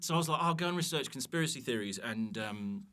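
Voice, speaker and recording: A man chatting casually as a comedian guest on a podcast, telling self-mocking stories in an animated way. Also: medium pitch, fast talker. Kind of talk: casual comic storytelling chat.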